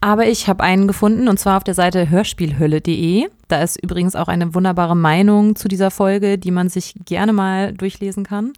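A woman's voice: mid-range voice, steady tone, fairly quick, hardly any pauses, a little mellow, no echo, studio quality. A woman talking casually as a podcast co-host in a review chat.

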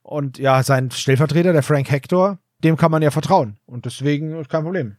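A man's voice: on the high side, moderate pace, a little mellow, no room sound, clean studio sound. A man co-hosting a podcast, retelling a story in a loose, rambling, chatty way. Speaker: podcast co-host. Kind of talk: casual episode recap.

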